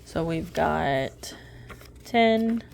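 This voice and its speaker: Chatty woman in her late twenties, lowish voice. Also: expressive, very slow, creaky, a little mellow, a little hiss or hum. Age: late 20s. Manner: chatty, rambling, self-correcting, laughs at herself. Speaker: budgeting vlogger. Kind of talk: casual chat while stuffing cash envelopes.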